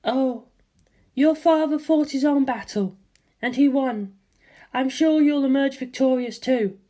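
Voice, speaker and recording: A woman doing a gentle, worried mother. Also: high voice, unhurried, voice pushed up. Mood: tender. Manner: soft, anxious, loving. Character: worried, gentle middle-aged mother on the phone.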